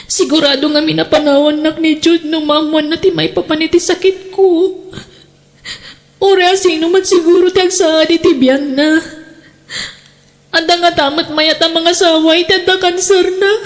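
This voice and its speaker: A young woman playing a gravely ill, frightened daughter who pleads tearfully in a melodramatic audio drama. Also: extremely high pitch, steady tone, unhurried. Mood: distressed pleading.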